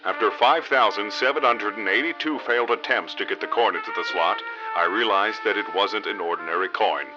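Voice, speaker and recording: Casting: male actor as dreamy, clueless rookie gumshoe, lowish register, mock-serious hard-boiled radio narration, slightly dull recording. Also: brisk, close to an everyday voice. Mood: brooding narration. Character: hapless novice male private detective, deadpan, dim and dreamy. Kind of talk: parody noir radio drama narration. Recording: dull lo-fi audio under loud music.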